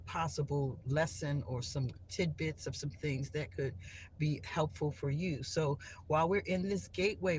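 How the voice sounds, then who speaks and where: A woman hosting a live stream from her phone at home, talking casually and loosely about spiritual lessons, with many restarts and fillers. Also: low voice. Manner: rambling, many restarts and "you know" fillers. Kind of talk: casual spiritual talk to viewers. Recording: clear background noise.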